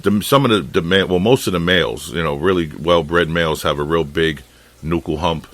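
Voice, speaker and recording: A man's voice: low voice, moderate pace, fairly bright tone, no echo. An American man talking casually on a phone call, explaining things in an informal, rambling way. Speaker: fish shop owner and podcast host.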